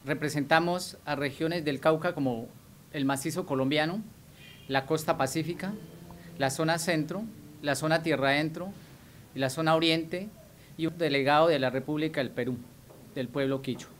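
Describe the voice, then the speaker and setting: A man introducing his group's delegation in steady, listing speech. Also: on the high side, normal speed, a little hiss or hum. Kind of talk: interview introduction.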